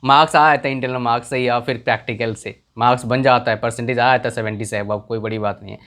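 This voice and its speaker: A man's voice: medium pitch, steady tone, quick pace, a bit bright. A young man whose first language is a village tongue, giving earnest career advice in a casual talk, often checking if the listener follows. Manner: earnest, repeats questions to the viewer.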